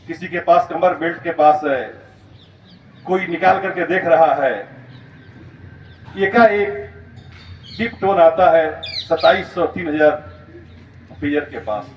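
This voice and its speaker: A man speaking as a school principal, giving an emphatic, step-by-step explanatory lecture in storytelling style. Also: fairly high pitch. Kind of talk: motivational school lecture, storytelling about current events. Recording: roomy, distant, muffled, noisy recording.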